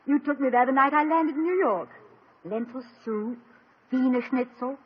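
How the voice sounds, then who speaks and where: An actress playing an affectionate young wife and former actress from England, who speaks warmly and gently in 1950s radio drama style, on an old broadcast recording. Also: female voice, sits high, moderate pace, highs cut off, slight background noise, close to an everyday voice. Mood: tender. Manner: warm, gentle, refined.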